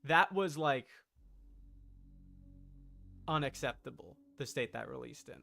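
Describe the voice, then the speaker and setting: A man's voice: medium pitch, lively intonation, slow, gravelly, pushed and bright. A man livestreaming a video game, chatting casually in an opinionated, emphatic, argumentative way. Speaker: streamer.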